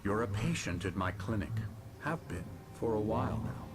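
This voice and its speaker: An actor playing a middle-aged psychiatrist in a video game cutscene, measured, condescending and outwardly reassuring. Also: male voice, lowish voice, lively intonation, close to an everyday voice. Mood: calm, firm. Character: smooth, condescending male psychiatrist running a clinic.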